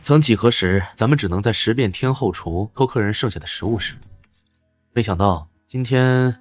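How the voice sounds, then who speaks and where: A man's voice: on the low side, moderate pace, no room sound, faint music behind, lightly acted. A man voicing a defiant, ambitious young former beggar in a Chinese period drama, speaking boldly and with self-assurance. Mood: earnest, familiar. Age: young adult.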